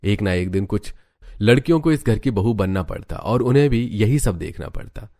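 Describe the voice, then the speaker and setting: A man's voice: lowish voice, expressive, normal speed, mellow. A man narrating a scripted cartoon story as the storyteller.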